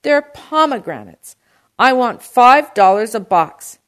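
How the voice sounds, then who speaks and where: Storybook role, read by a woman: proud farmer uncle, stubborn dreamer, excitable and insistent. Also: on the low side, measured pace. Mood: indignant shouting. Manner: shouting indignantly over the phone.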